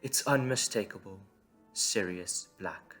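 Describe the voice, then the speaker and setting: A man's voice: deep voice, slow pace, fairly bright tone, soft background music. A man reading scripted story narration as the narrator of an audio drama.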